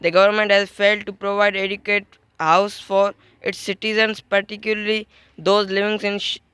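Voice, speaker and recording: A man's voice: sits high, level tone, normal speed, sharp tone, clean tone, no room sound. A man reading a prepared statement steadily in a debate. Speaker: debate participant.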